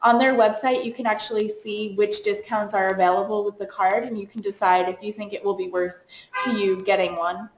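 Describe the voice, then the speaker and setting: A woman's voice: medium pitch, fairly even intonation, fairly quick, reverberant, low-quality recording. A woman from the United States, a program coordinator giving practical, chatty advice in a webinar presentation.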